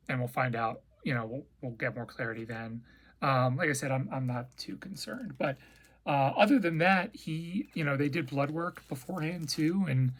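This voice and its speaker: A man with a raspy voice giving a casual, rambling spoken update, full of ums and restarts. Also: medium pitch, a bit bright. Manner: rambling, hesitant, lots of fillers and restarts. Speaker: vlogger. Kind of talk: casual personal update chat.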